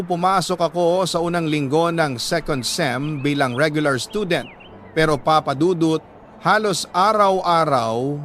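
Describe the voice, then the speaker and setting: A man with a Filipino accent reading a listener's letter as a radio drama host, in a sorrowful, deliberate storytelling manner with dramatic pauses. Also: on the high side, a bit bright, a little hiss or hum.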